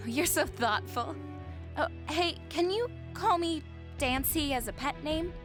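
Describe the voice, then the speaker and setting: A woman's voice: sits high, measured pace, over music. A woman playing a doting, dangerous ex-military woman who stalks the man she loves. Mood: sweet. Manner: sweetly doting with a menacing edge. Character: obsessive ex-military female stalker, cheerful and dangerous.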